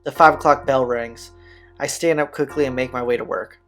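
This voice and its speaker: A man's voice: mid-range voice, fairly even intonation, brisk, fairly bright tone. A man reading visual novel text aloud in an informal, slangy way, as host of a playthrough. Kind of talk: reading game text aloud with casual asides. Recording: game music behind the voice. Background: visual novel soundtrack.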